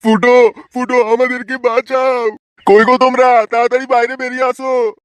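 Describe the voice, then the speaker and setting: A man's voice: very high-pitched, level tone, moderate pace, no echo. A man voicing a desperate village father in a comic cartoon, wailing and pleading. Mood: panicked.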